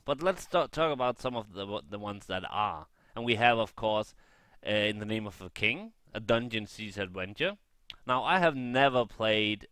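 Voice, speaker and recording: A man's voice: lowish voice, fairly bright tone, clear, resonant tone, no room sound. A man giving casual gameplay commentary, rambling and thinking aloud as he shares blunt opinions. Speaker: gaming vlogger.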